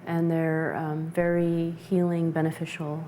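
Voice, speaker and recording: Mellow, low-voiced woman explaining. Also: flat delivery, slight background noise. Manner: measured, halting, searching for words. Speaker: interview guest, essential oils practitioner. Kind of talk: explanatory interview answers.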